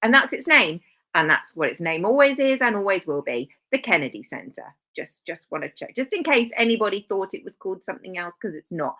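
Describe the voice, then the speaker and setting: A woman's voice: mid-range voice, very animated, a little fast, fairly bright tone. A woman hosting a live stream, talking casually with dry sarcastic asides and rambling self-corrections.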